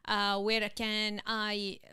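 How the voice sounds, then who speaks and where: A woman with an Arabic accent, a real estate agent being interviewed on a podcast, speaking with many pauses, fillers and restarts. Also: mid-range voice, monotone, pushed and bright, clear, resonant tone, no echo, clean studio sound. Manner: laughs easily.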